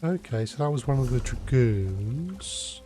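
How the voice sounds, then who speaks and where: A man's voice: medium pitch, animated, measured pace, warm tone. A man live streaming a game, commenting casually and reading out questions as he plays. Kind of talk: casual live gameplay commentary, reading dialogue options aloud.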